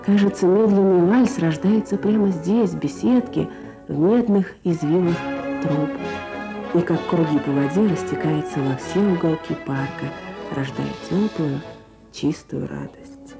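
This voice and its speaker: Lowish-voiced woman presenting Soviet radio. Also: a little slow, vocal fry, over loud music, low-quality recording. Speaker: journalist, radio programme author and presenter. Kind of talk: scripted Soviet radio narration. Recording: archival Soviet radio broadcast.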